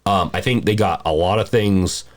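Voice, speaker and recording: A man hosting a casual movie discussion podcast, talking in a halting, rambling way and often rephrasing mid-sentence. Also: sits low. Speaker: podcast host.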